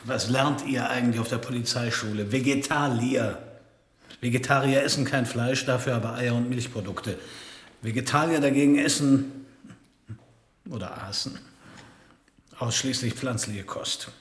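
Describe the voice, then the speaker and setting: An actor playing a dry, wisecracking middle-aged private detective in a radio crime drama. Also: male voice, mid-range voice, normal speed, long pauses, reverberant, natural voice. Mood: wry. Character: sardonic hard-boiled middle-aged male private detective, former anarchist. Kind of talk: radio crime drama dialogue and first-person narration.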